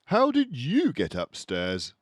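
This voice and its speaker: Guy doing a night watchman in a kids book, startled but kindly, emphatic, pitch jumps up. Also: wildly swooping pitch, slow. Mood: surprised, friendly. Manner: exclaiming, emphatic. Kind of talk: storybook character voice.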